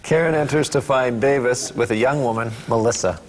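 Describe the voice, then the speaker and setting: A man's voice: mid-range voice, a little fast, a crowd in the background. Man reading out script directions. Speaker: reader of stage directions. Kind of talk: reading script stage directions aloud at a table read.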